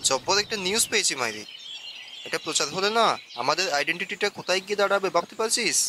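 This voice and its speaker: A man voicing an excitable, chatty young news crew sidekick in a scripted cartoon. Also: high voice, fast, background noise. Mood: excited, chummy.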